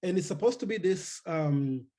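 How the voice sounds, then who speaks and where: Guy from rwanda chatting on a video call, kinda high voice, mellow, lots of ums. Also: normal speed. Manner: relaxed, many fillers and restarts. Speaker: guest speaker. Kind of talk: casual technical talk.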